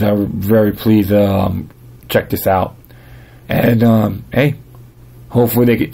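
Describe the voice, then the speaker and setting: A man with a dry voice hosting a podcast, reading and commenting informally in a halting way, often stumbling and repeating himself. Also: fairly low pitch, gravelly, mellow, breathy edge. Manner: halting reader, stumbling over words and repeating phrases. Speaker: podcast host. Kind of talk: reading news articles aloud with casual commentary.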